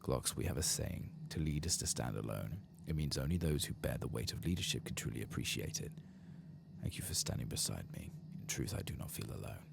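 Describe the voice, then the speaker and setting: A soft-spoken man murmuring and whispering ASMR commentary as he plays a video game, reading some text aloud. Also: animated, fairly quick, background noise. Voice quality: low, gravelly, breathy murmur. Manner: reads quest text aloud softly. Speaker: streamer playing and narrating a video game.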